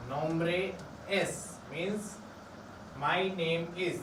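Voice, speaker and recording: A man, teaching a classroom lesson, explaining slowly and repeating each phrase. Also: a little high, fairly even intonation. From India. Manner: slow, repetitive explaining, drawn-out words. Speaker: Spanish teacher. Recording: echoey classroom from far off, noisy.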